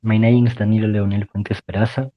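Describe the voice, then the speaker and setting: A man in his twenties from El Salvador introduces himself plainly to his English class. His voice is lowish and comes through slightly dull audio. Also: little pitch movement, fast. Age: 20s. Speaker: student.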